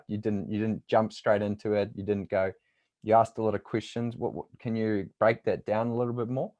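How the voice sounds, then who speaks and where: A man with a New Zealand accent and a lowish voice talks casually. He comes across as an informal, matey interviewer and coach in an everyday conversation. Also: a little mellow.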